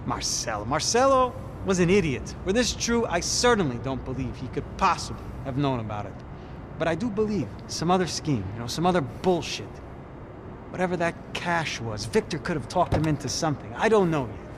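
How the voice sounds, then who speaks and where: An actor playing a shrewd, sardonic police detective in a video game, with a knowing, mocking delivery. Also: male voice, on the high side, big pitch swings, a little fast. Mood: dismissive. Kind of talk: video game cutscene dialogue. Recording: loud car and street noise behind.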